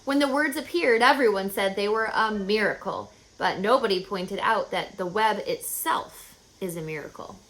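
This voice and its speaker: A woman voicing a calm, thoughtful old male country doctor in a storybook, musing and reassuring in an unhurried way. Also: medium pitch, close to an everyday voice. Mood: reflective. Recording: echoey room, faint background noise.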